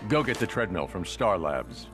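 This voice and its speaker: A man playing a grim, commanding superhero vigilante with a gruff voice in a video game cutscene. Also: low voice, sing-song, moderate pace, music in the background. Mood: grim, resolute.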